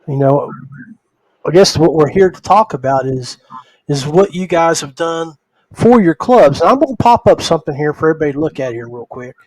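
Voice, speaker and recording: A man hosting a casual podcast conversation, speaking in a halting way and often repeating words. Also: fairly high pitch, expressive, a little mellow, no echo, rough recording. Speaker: podcast host.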